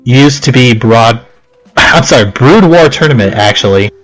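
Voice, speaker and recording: A young man records a casual let's play in a middle-pitched voice. He reads on-screen text aloud and drifts into chatty, rambling asides. Game music plays behind him. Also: expressive, a little slow, fairly bright tone, rough recording.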